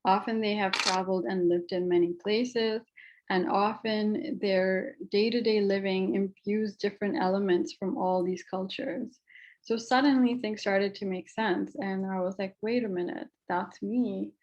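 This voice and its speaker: A woman presents her art at a panel talk, her voice sitting in an ordinary middle register. She speaks in a reflective, personal way and often pauses on ums and uhs. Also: mid-range voice, steady tone, a little mellow, muffled recording.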